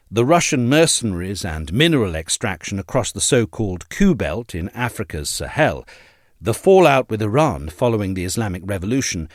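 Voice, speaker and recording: Male narrator for long-form essay: lowish register, expressive pitch movement, formal and articulate, recorded dry. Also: no echo. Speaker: narrator reading an essay aloud. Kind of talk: scripted narration of a political essay.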